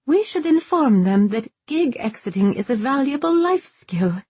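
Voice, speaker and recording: A reserved young witch from a fantasy storybook, played by a woman narrator. She speaks in a raised, slightly husky voice. Her manner is formal, precise and earnestly flat. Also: sits high, normal speed, no room sound, voice pushed up, full character voice. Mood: dry.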